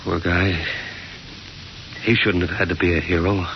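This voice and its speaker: A man's voice: deep voice, flat delivery, unhurried, a little hiss or hum. An actor playing an earnest, anxious man, a loyal friend, in an old-time radio drama. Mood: grave, resolute. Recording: dull old radio recording, music behind.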